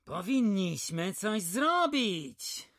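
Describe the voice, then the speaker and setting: A woman playing an excitable young village lad in a radio drama, blunt and exclaiming as one of a gang of pals. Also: mid-range voice, very slow, full character voice. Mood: agitated.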